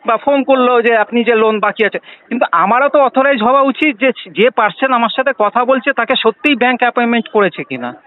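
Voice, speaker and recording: A high-pitched man with a West Bengal Bengali accent, speaking over a thin, narrow phone line. He argues like a persistent customer, pressing questions and circling back to his points. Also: fairly quick. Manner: insistent, questioning, repeats himself. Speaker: bank customer on a debt recovery call. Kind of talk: argumentative phone conversation. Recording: tinny, narrow-band phone line recording.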